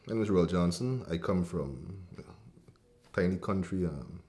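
A man's voice: low-pitched, animated, normal speed, mellow. A man in his forties with a Guyanese accent, answering interview questions as a writer in a hesitant, thoughtful way, full of ums, restarts and coughs, seated in an indoor room with a fireplace. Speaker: writer and cultural policy advisor.

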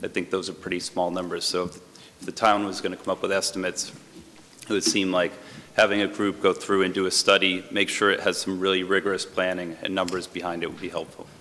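A middle-aged man giving a polite, measured public comment as a resident at a town hearing, with frequent ums. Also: deep voice, flat delivery, pushed and bright, a little hiss or hum. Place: large hall, standing in the audience. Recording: reverberant hall.

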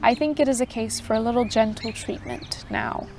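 A woman voicing a gentle but authoritative unseen advocate who speaks kindly and with measured calm. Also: a little high, music in the background. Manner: kind, measured, pleading.

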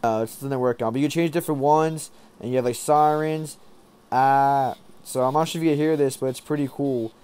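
A young man giving a casual app tutorial in a rambling, halting way, often repeating himself. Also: medium pitch, fairly even intonation, a little mellow, smooth. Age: young adult. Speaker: tech tutorial presenter.

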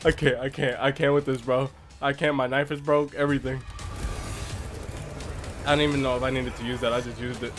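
A young man streaming a horror game from his room, reacting loudly with constant swearing and trash talk. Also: medium pitch, flat delivery, brisk, long pauses, music in the background. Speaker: gamer streamer. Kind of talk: live gameplay reactions. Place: small room at home, indoors.

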